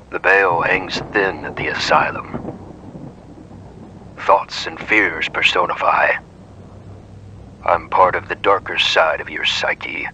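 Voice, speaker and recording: A man playing the taunting dark double of an investigator in an analog horror drama, accusing and menacing. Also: low voice, a little hiss or hum, heavily acted, low-quality recording.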